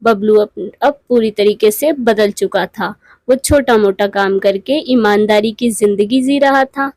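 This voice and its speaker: A woman's voice: medium pitch, unhurried, darkish tone, no room sound. A woman narrating a scripted children's moral story.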